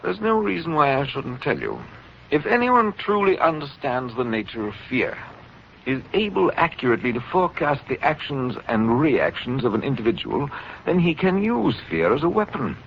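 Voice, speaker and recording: A pompous, calculating villain of a doctor lectures with wide swings of pitch and clinical precision. It is old radio melodrama, on a dull recording with some faint noise. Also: male voice, sing-song, moderate pace, gravelly. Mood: smug, clinical. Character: coldly calculating male doctor, megalomaniac villain experimenting with fear. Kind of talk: 1940s radio acting. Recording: muffled, noisy 1940s radio recording.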